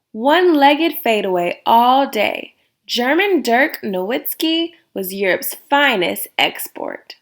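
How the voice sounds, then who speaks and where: Slow, high-voiced woman reading to children. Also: a bit bright. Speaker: children's book reader. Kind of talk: read-aloud of a rhyming picture book for young children.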